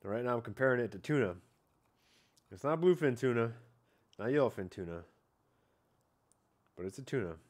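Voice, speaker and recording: A man's voice: medium pitch, expressive, moderate pace, pauses often, a little mellow. A man in his thirties casually talking through a fish tasting on an outdoor patio at night, rambling and hedging with filler words, recorded on a head-mounted camera. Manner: laid-back, rambling, hedging with "I don't know" and "like". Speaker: fishing vlogger. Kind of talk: casual catch-and-cook vlog.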